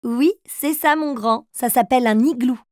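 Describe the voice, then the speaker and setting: A woman's voice: high voice, normal speed, a little mellow, no room sound, studio quality, lightly acted. A woman playing a patient, encouraging mother figure who tells riddles to young children in a cartoon.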